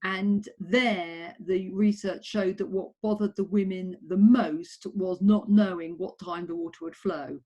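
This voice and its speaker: A woman's voice: mid-range voice, fairly even intonation, measured pace, few pauses, a little mellow, highs cut off. Middle-aged woman researcher, earnest, lots of ums and restarts. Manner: earnest, frequent ums, self-corrections. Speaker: tourism researcher, interviewee. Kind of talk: conversational interview answers.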